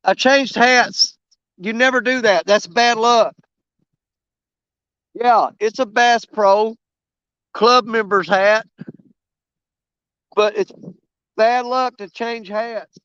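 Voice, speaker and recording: Man, hoarse, self-mocking outdoors streamer, clear and dry. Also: very high-pitched, steady tone, slow, long pauses, a bit bright, no echo, highs cut off. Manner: rambling, self-mocking, drawling. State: losing his voice, coughing. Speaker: fishing vlogger streaming live. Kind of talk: casual live-stream chat while fishing. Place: outdoors by a river.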